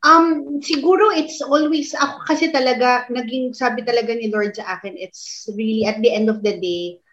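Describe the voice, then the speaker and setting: A woman's voice: a little high, brisk, a bit bright, slight background noise. Filipino woman guest, reflective, roomy and dull lo-fi audio. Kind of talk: casual podcast chat.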